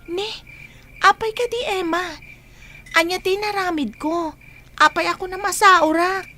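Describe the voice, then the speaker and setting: A woman's voice: very high voice, fairly even intonation, a little slow, bright, forceful tone, faint music behind, heavily acted. A woman playing a chatty, supportive young best friend in an Ilocano radio drama. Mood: apologetic.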